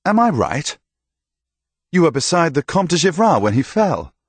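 A man doing a booming jovial old knight. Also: sing-song, moderate pace, breathy edge, no echo, full character voice. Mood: hearty. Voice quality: booming, laughing barks. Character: boisterous hearty older male knight, jovial and commanding. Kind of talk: audiobook character voice.

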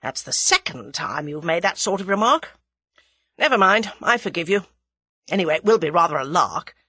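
A woman voicing a sensible, wry young English man in a dramatised audiobook, with a measured, sceptical manner. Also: on the low side, big pitch swings, sharp tone, slightly rough, no echo, exaggerated voice. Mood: wry, mock-offended. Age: young adult.

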